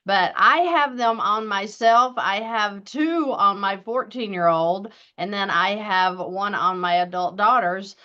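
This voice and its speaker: A woman's voice: mid-range voice, a little slow, hardly any pauses, bright, forceful tone. A woman in her fifties hosting a casual interview chat, talking in a chatty, upbeat way with some restarts. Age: 50s. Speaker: host. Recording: dull lo-fi sound.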